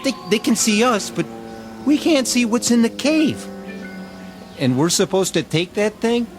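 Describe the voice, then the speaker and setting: Casting: griping frontline infantryman, uneasy, slightly high voice with lively swings, for radio drama. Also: a little slow, over music.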